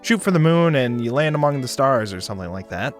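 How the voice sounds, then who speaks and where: A man's voice: mid-range voice, animated, fairly quick, faint music behind, close to an everyday voice. A man voicing a wry, broke, laid-back space shuttle passenger in a visual novel, speaking casually. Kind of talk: visual novel character reading.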